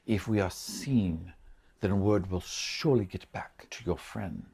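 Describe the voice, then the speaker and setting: Man voicing a hushed, cautious, formal noblewoman. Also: sits low, measured pace, vocal fry, husky, pitched below the performer's own voice, exaggerated voice. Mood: cautious warning.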